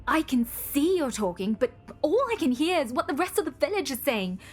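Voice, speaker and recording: Vulnerable, embittered woman, slightly high voice, radio drama over faint music. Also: very animated, fairly quick. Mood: hurt, bitter. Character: hurt, bitter, vulnerable woman feeling shunned. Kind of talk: radio soap acting.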